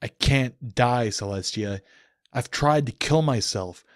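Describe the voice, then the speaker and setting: A man's voice: mid-range voice, takes it slowly, no room sound, clean studio sound, pitched above the performer's own voice, natural voice. A man acting out a roaring, tormented immortal man. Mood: roaring anguish. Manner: roaring, anguished, confrontational. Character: tormented, bitter immortal man, raging and grief-stricken. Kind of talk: dramatic audiobook character acting.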